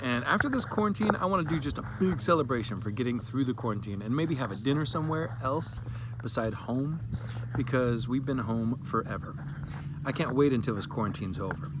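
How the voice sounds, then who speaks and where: Man as a fed-up little girl, childlike, emphatic, repetitive, sad and earnest, dramatic story reading, clearly noisy background. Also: mid-range voice, natural voice.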